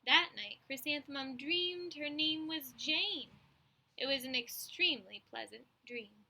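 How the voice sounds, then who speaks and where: A woman reading a picture book aloud to children in an expressive, teacherly way, pausing to put questions to her young listeners. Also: sits high, gravelly. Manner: addresses young listeners as friends, instructive.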